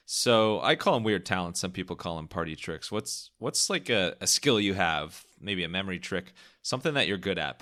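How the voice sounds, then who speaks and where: A man's voice: low-pitched, sharp tone. A young man hosting a podcast interview, asking questions in an upbeat, jokey way and laughing easily. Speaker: podcast host.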